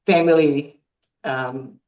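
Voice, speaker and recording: Woman, 50s, podcast guest; unhurried, halting delivery full of ums. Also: deep voice, fairly even intonation, dark tone, lo-fi sound. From Croatia. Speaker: guest athlete. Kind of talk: podcast interview.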